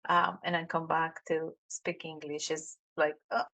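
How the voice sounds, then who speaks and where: A woman from Colombia with a Colombian Spanish accent, a Spanish native speaker hosting an interview podcast with deliberate, simple phrasing. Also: low-pitched, little pitch movement, gravelly, bright, forceful tone. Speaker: podcast host.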